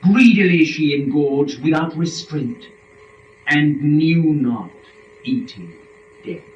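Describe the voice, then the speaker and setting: Male narrator for epic poetry: solemn, theatrical, unhurried delivery, recorded at a distance in an echoey room. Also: fairly high pitch, lively intonation, a little mellow. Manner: solemn, deliberate, theatrical phrasing. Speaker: narrator in a dramatic reading. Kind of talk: dramatic recitation of epic verse. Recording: echoey room, distant mic, faint noise.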